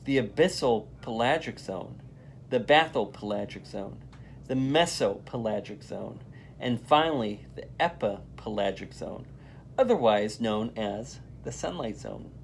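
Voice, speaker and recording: A volunteer storyteller reading aloud to children in an animated style. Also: medium pitch, measured pace, gravelly, slight background noise.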